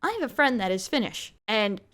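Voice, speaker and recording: A woman's voice: a little high, expressive, a little fast, fairly bright tone. A woman hosting a commentary video, explaining clips in a skeptical, critical way. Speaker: commentary host. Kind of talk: reaction commentary.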